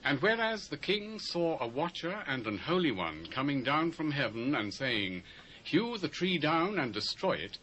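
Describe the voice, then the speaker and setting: A British man playing an earnest, reverent prophet counselling a king in a dramatised scripture recording, measured and fervent. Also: medium pitch, bright, forceful tone, low-quality recording. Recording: faint hiss of old cassette.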